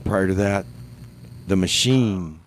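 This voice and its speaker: A man's voice: sits low, slow, slight background noise. A man hosting a community radio show, chatting in a rambling, easygoing way with lots of fillers between songs. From New Mexico, USA.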